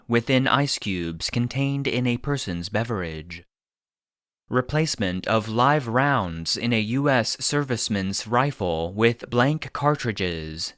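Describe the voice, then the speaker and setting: A man voicing a database narrator, reading secret records in a formal, clinical, deadpan manner. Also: on the low side, no echo, studio quality.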